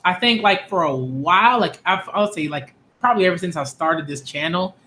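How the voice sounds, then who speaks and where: A man's voice: high-pitched, expressive, normal speed, pushed and bright. A man in his late twenties, a channel host talking straight to his viewers in an emphatic, repetitive, exasperated way. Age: 20s. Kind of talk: direct-to-camera rant.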